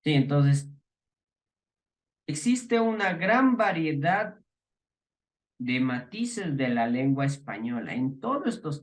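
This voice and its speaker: A middle-aged man with an Ecuadorian highland accent lectures a class. His voice sits somewhat high for a man. He explains patiently, restarting phrases, filling pauses and posing rhetorical questions to his students. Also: poor audio. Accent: Ecuadorian highland Spanish. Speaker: teacher.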